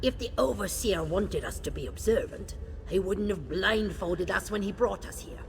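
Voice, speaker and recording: An actress playing a surly, overworked female miner in a fantasy video game, curt, sarcastic and suspicious, with a creaky voice. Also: mid-range voice, animated, normal speed, slight background noise. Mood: irritated, sarcastic. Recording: long cave reverb, clear music underneath.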